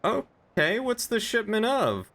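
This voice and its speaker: A man's voice: sits high, huge pitch swings, takes it slowly, creaky, a bit bright. A man streaming a game, chatting casually and improvising roleplay in a polite, hesitant way.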